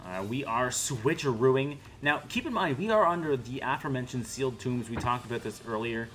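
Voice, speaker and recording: A man's voice: medium pitch, expressive, brisk, a bit bright. A young man casting a card game stream in joking, teasing commentary with mock-dramatic asides. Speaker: caster.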